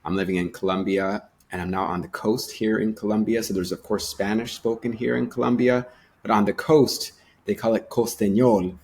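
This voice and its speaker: Man, podcast host, lowish voice, earnest and easygoing, faint background noise. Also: flat delivery, moderate pace, darkish tone. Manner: scripted-sounding intro, then easygoing and earnest. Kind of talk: interview hosting.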